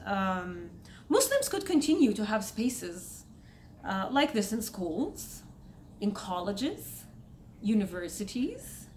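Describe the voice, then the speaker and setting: A young woman from Saudi Arabia with an Egyptian accent, talking to her viewers in a casual, emphatic commentary. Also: slight background noise. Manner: emphatic, spikes in pitch when making a point. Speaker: vlogger.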